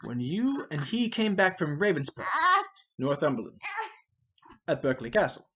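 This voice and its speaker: A man's voice: on the high side, sing-song, moderate pace, fairly bright tone, slightly rough, lo-fi sound, heavily acted. A man playing a hot-headed, impatient young nobleman, ranting in a declamatory Shakespearean stage reading. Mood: agitated, scornful. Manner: impetuous, declamatory. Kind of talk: dramatic reading of a Shakespeare play.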